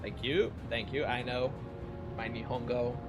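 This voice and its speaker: A man's voice: medium pitch, flat delivery, measured pace, over loud music. A man streaming a game and chatting casually with viewers in a rambling, self-deprecating, casually profane way. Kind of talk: casual livestream chat, replying to viewers.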